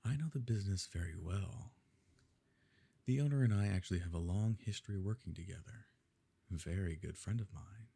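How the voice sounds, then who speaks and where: A man playing a smug, wealthy evil nobleman in an intimate ASMR roleplay, suave and condescending, with a gravelly, husky voice. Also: low-pitched, brisk, dark tone, exaggerated voice. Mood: sly, self-satisfied. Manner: suave, condescending, leisurely formal phrasing.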